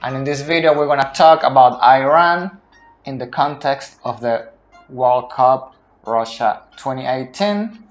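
Slow, earnest man at a whiteboard. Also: medium pitch, faint music behind. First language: Spanish. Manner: earnest, pointing at a tactics whiteboard while talking. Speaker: football analyst. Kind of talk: unscripted tactical explainer. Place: small indoor room. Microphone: phone camera up close.

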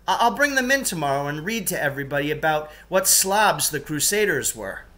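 Cocky schoolboy played by a man, raised pitch, bright and forceful, blunt, defiant, storybook reading. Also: very animated, a little fast. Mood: gleefully provocative. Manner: blunt, emphatic, mock-formal threats.

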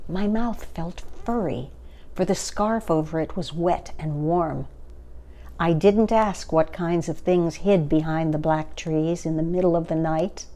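A woman in her sixties reads a picture book aloud. Her voice is soft-edged and mellow, pitched a little low, and she takes her time. Each phrase is placed gently and deliberately, as for a children's story. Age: 60s. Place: small furnished living room, indoors.

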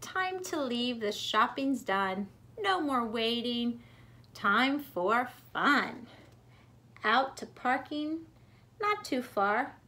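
A woman reads a children's picture book aloud in an expressive, rhythmic, deliberate way for young listeners. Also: on the high side. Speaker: preschool teacher reading aloud. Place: bedroom at home.

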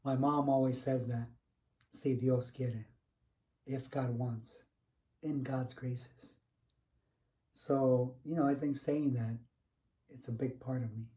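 A man's voice: medium pitch, steady tone, slow pace, pauses often, dark tone, lightly acted. An actor playing a devoted middle-aged educator and grateful son, telling his story conversationally in a dramatized monologue. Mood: earnest, grateful. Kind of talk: dramatized first-person narrative, conversational. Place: small home room, indoors. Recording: distant mic in a room.

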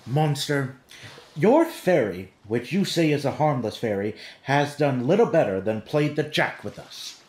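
A man's voice: mid-range voice, lively intonation, unhurried, darkish tone, natural voice. Man as a blustering drunkard, echoey room. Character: blustering, threatening drunken would-be king. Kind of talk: Shakespearean radio play acting.

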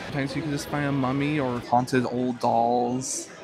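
A man's voice: medium pitch, flat delivery, normal speed, noisy background. A man casually vlogging as he walks around an indoor expo, upbeat and easily delighted, with joking asides. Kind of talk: casual walkthrough vlog. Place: busy indoor expo hall. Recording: crowd chatter behind.

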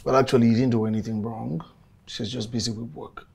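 A young man in love, earnest and easily frustrated. His mid-pitched voice is rough and husky, in the style of screen drama dialogue. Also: fairly even intonation, brisk, a little mellow. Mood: guarded.

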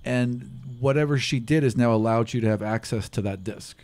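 A middle-aged man improvising narration as a tabletop game master, pausing often mid-sentence. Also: mid-range voice, a little mellow. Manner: halting, many pauses mid-sentence. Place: small room, around a table. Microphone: individual table microphone, close.

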